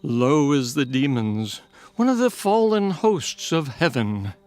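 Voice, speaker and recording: A man's voice: mid-range voice, animated, slow pace, a little mellow, faint music behind. A man playing a fearless elderly scholar in an audiobook, speaking with eloquent, theatrical mockery. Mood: mocking. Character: elderly learned scholar, fearless, wry and taunting. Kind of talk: dramatized audiobook acting.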